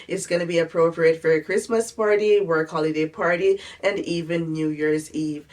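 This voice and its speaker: A woman's voice: low-pitched, distant mic. Nasal woman with a head cold. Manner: friendly, descriptive product run-through. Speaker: fashion vlogger. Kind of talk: try-on haul review.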